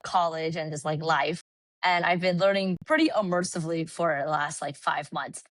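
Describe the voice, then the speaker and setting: A woman from California, a podcast guest, telling travel stories in an animated, casual way with lots of "like". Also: sits low, normal speed, no echo. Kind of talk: casual chat. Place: Puerto Rico.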